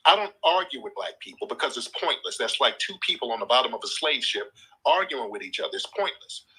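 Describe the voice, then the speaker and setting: A combative man hosts a live call-in show, lecturing hard and cutting callers off. His voice is bright and edgy with a husky grain. His pitch is a little high and swings widely as he talks. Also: very animated, fairly quick, poor audio. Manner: combative, lecturing, repeats points; swears freely, talks over the caller. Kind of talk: heated live call-in argument.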